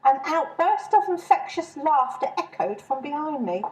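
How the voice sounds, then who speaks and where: A woman's voice: sits high, sing-song, normal speed, rough recording. A woman reading her own humorous short story as a first-person narrator.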